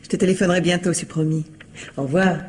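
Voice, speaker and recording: A woman's voice: on the low side, fairly even intonation, fast, noisy background, close to an everyday voice. A woman acting an anxious, evasive middle-aged ex-wife in a radio crime drama, hesitant, nervous and polite. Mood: polite, restrained.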